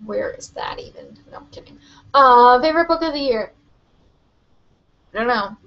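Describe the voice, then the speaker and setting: A young woman hosting a live book Q&A from her webcam, chatty and rambling with lots of "like" and "um", her voice a little hoarse. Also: on the high side, expressive, normal speed, dull audio. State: slightly hoarse, losing her voice. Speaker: book vlogger. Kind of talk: live-stream Q&A.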